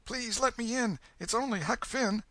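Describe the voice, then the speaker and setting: Casting: man doing a frightened vagabond boy, high and pleading, with a lively pitch. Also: normal speed, dry recording, exaggerated voice. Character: scared ragged boy, pleading and jumpy.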